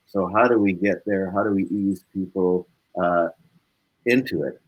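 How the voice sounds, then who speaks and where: A man's voice: sits low, measured pace, muffled recording. A man speaking as a musician guest in a casual interview, reflective and earnest in manner.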